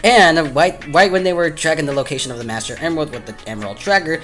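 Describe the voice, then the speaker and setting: A man's voice: fairly high pitch, quick pace, a bit bright, soft background music. A man narrating a fan's video review from a script with casual asides, enthusiastic and stumbling over words now and then. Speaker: film reviewer.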